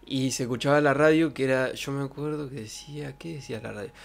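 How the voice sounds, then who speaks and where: A man's voice: mid-range voice, fairly even intonation, fast talker, vocal fry. A young man with a Santa Fe Argentine accent, chatting casually and colloquially on a livestream in a jokey storytelling way. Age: young adult. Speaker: streamer. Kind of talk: casual livestream chat, reminiscing. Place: bedroom streaming setup. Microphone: close desk microphone with pop filter.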